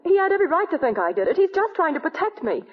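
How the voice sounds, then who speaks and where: An actress playing a distraught, devoted, melodramatic accused widow in 1940s radio style. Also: female voice, very high-pitched, sing-song, racing. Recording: tinny 1940s radio recording.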